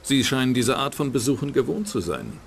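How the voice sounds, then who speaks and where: Gravelly, sardonic private eye. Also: male voice, big pitch swings, a little fast, a little hiss or hum. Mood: guarded, probing. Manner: dry, sardonic, low-key delivery. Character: hard-boiled male private detective, wry and cynical. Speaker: narrating game protagonist. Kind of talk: dubbed game voice acting.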